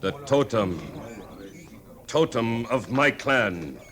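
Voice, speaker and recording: A man playing a proud, aggrieved war chief in an old-time radio drama, speaking stately, halting, broken English. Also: medium pitch, lively intonation, a bit bright. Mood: solemn, aggrieved. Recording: old radio recording, faint noise.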